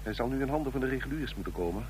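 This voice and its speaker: An actor playing a cool, manipulative secret agent in a radio drama, deliberate, insinuating and coaxing. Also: male voice, medium pitch, expressive, natural voice. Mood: cool, businesslike. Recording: noisy, humming old radio recording.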